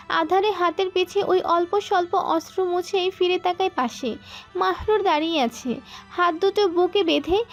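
Very high-pitched woman narrating a story. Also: lo-fi sound. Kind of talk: scripted romantic story narration.

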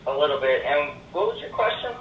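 A male restaurant worker takes a call in short customer-service replies. His fairly high, varied voice comes through a phone speaker, distant and echoey. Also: big pitch swings, a little fast, dull audio, crowd noise. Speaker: fast-food worker answering phone.

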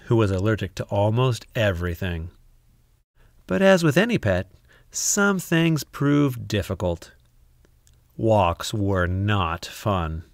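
Slow, animated male storybook reader. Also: lowish voice, gravelly, dry recording, studio quality. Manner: expressive, deliberate with dramatic pauses. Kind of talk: scripted children's story narration.

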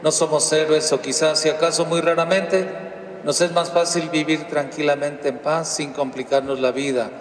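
A middle-aged man, a priest, leading prayers and a blessing in a solemn, slow, measured way, into a handheld mic in a large church. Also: fairly high pitch, fairly even intonation, distant mic, a little hiss or hum. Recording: echoey church with long reverb, from afar.

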